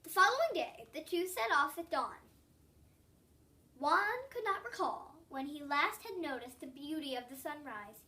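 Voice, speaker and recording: A young child with a high, bright voice reads a story aloud. The delivery is expressive, leaning on certain words and drawing them out. The voice sounds a little way off from the microphone. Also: a little slow. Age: child, about 8. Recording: distant from the microphone.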